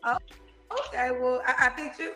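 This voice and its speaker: A woman's voice: high-pitched, steady tone, moderate pace, music in the background. A woman hosting a call-in show, teasing a caller and quizzing her.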